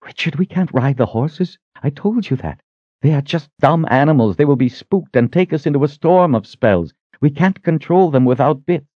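A man's voice: fairly high pitch, animated, moderate pace, no room sound, lo-fi sound, voice pushed up. A man voicing a stern, frightened and devout religious sister in an earnest, scolding manner, as in an audiobook. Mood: scolding, insistent.

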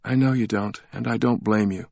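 A distraught, tearful young woman pleads in a soft, lifted voice, as a male narrator puts her on. It is a storybook reading, close and dry, with a slightly dull, muffled sound. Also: normal speed, no echo, close to an everyday voice. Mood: urgent. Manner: soft, pleading, raised pitch.

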